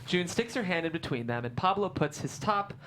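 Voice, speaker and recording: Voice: mid-range voice, lively intonation, brisk. A narrator reading screenplay stage directions aloud at a table read. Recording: clearly noisy room with hum.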